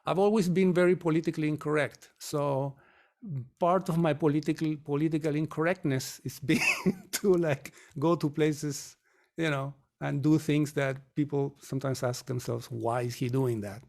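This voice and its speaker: Man from chile, interview guest, reflective storytelling, slightly high pitch. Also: steady tone, normal speed, creaky, darkish tone. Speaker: guest, mortgage company founder. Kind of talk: podcast interview answers.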